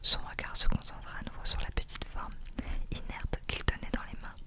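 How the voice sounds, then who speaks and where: A woman reading a novel aloud as whispered ASMR, in a breathy, unvoiced, soft and unhurried narration.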